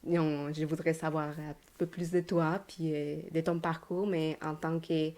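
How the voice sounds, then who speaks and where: A woman's voice: sits low, level tone, a little slow, dark tone. A woman with a Québécois French accent hosting a podcast, reading a scripted intro, then chatting with many fillers and restarts. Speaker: podcast host.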